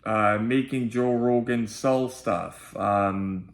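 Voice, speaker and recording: A man's voice: medium pitch, fairly even intonation, takes it slowly. A man with a nasal voice, chatting informally to viewers as he hosts a casual unboxing vlog. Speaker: video host and collectibles unboxer. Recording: roomy, echoey sound.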